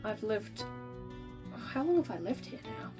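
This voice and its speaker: A woman in her thirties talks her way through a gardening vlog, chatty and rambling, her voice nasal and stuffy from a cold. She sits at a middling pitch, with faint music under her. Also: very animated, a little slow. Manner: chatty, rambling, frequent ums. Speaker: gardening vlogger. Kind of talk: casual vlog chat. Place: backyard greenhouse.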